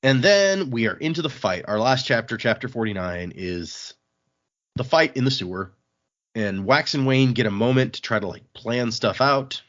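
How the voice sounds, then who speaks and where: A man's voice: mid-range voice, animated, normal speed, a bit bright, dull audio. A man hosting a podcast, casually recapping a book in an informal way. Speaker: podcast host.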